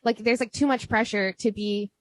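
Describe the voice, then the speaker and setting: A woman's voice: a little high, steady tone, moderate pace. A young American woman hosting a podcast, chatting casually over drinks with a big voice, lots of "like" and frequent laughs. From United States. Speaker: podcast host.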